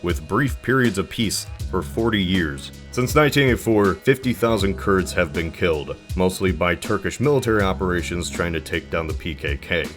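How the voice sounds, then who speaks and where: A man's voice: low voice, expressive, moderate pace, few pauses, faint music behind. A man narrating a scripted explainer video, with dry emphatic phrasing and conversational asides.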